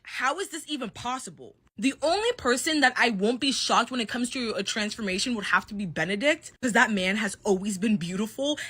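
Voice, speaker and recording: A woman's voice: fairly high pitch, a bit bright. A woman giving casual pop-culture commentary in an emphatic, exaggerating, sweary manner.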